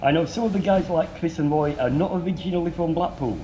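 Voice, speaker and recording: A man reading out viewer questions in a casual chat, with dry deadpan asides. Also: a little high, fast, lo-fi sound, a little hiss or hum.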